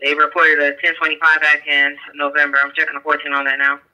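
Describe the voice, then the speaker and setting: A man's voice: on the high side, monotone, fast, sharp tone, low-quality recording. A man working as an emergency dispatcher, speaking procedurally over a police scanner radio and reading numbers out digit by digit.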